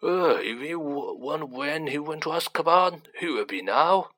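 A storyteller voicing a gloomy male bus driver who talks in a slow, halting, grunting way, in an audiobook reading. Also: mid-range voice, expressive, a bit bright. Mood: dark, slow.

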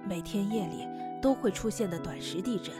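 A woman's voice: mid-range voice, animated, fairly quick, lightly acted. A woman as a timid, earnest townswoman, music behind. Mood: earnest, troubled. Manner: hesitant, earnest. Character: earnest, timid grieving woman from a quake-struck county. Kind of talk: Chinese fantasy radio drama acting. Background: background music.

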